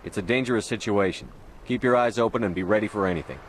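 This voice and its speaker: A man's voice: lowish voice, fast talker, a little hiss or hum, lightly acted. An actor playing a stern male military commander in a video game, blunt, terse and authoritative. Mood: firm, commanding. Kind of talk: video game cutscene acting.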